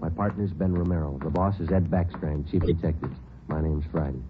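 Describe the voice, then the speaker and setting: An actor playing a terse, deadpan police sergeant who talks in clipped, matter-of-fact lines, in 1940s radio acting. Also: male voice, low-pitched, fairly quick, over music, lightly acted. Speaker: homicide detective sergeant and narrator. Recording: tinny hissy old radio broadcast.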